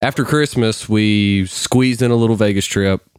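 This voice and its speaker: A man co-hosting a podcast, teasing and telling quick stories. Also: fairly low pitch, clean tone, dry recording, clean studio sound. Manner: teasing, animated storyteller. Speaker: podcast co-host. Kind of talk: casual banter.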